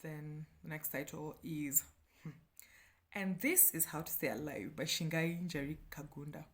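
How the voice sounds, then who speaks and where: She is a Kenyan-accented woman in her thirties with a somewhat low voice. She reviews books in a casual, chatty way, animated and frank. Her delivery is self-deprecating and sprinkled with playful asides. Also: a little slow. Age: 30s. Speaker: book reviewer and host.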